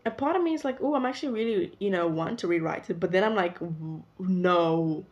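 A woman's voice: fairly low pitch, a little fast. Rambling young South African woman. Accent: South African English. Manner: rambling, chatty, self-interrupting. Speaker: vlogger. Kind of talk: casual chat to camera. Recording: dull lo-fi recording.

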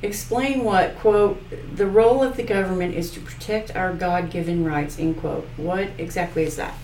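A woman's voice: lowish voice, moderate pace, darkish tone. A woman from rural Missouri hosting a casual interview, folksy and self-deprecating, asking short questions. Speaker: podcast host. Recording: echoey room, mic far off, faint noise.

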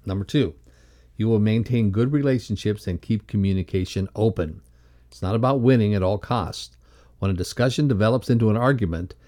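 A man's voice: on the low side, dark tone. A man co-hosting a podcast and reading a scripted lesson on leadership. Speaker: podcast co-host and reader.

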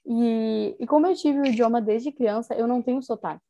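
A woman's voice: a little high, fairly quick, gravelly, mellow, lo-fi sound, a little hiss or hum. A young woman talking casually as an interviewee, chatty and enthusiastic, with many small fillers. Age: young adult. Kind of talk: casual conversation.

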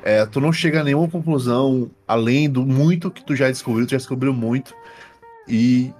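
A man's voice: medium pitch, a little fast, darkish tone, soft background music. A man with a Brazilian accent, improvising narration as game master in casual, slangy speech. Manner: informal, uses slang and swearing.

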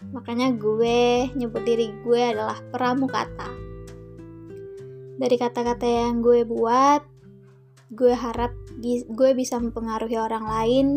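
A woman's voice: a little high, flat delivery, measured pace, long pauses, darkish tone, faint music behind. A woman hosting a solo podcast in a casual, slangy, playful chat. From Indonesia. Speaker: podcast host.

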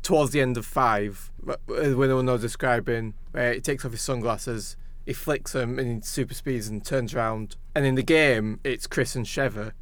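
A man's voice: mid-range voice, normal speed, few pauses. A man co-hosting a podcast, chatting casually about films and games. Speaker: podcast co-host. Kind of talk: casual film and game discussion.

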